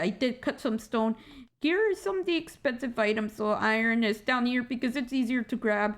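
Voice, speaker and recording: A woman with a squeaky voice giving a casual, rambling game walkthrough at her computer, tired and correcting herself as she goes. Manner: rambling, self-correcting, joking asides. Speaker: gaming channel host. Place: indoor room at a computer.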